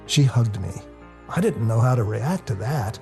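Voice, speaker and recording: A man reading a novel aloud as a first-person dramatic narrator, in a confessional, expressive storytelling manner. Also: mid-range voice, normal speed, creaky. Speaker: author reading their own novel. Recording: music under the voice. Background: music.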